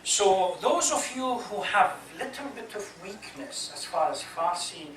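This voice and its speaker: A man, a native Persian speaker, delivering an impassioned, emphatic religious lecture as an imam, halting at times and posing rhetorical questions. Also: high voice, pushed and bright. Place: echoey hall, speaking to a congregation. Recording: roomy distant mic, faint noise.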